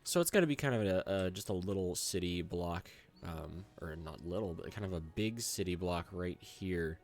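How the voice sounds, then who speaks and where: A young man hoarse from a cold, rambling casually with lots of fillers as he hosts his gameplay commentary. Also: low voice, moderate pace. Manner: rambling, filler-heavy and chatty. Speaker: gaming channel host.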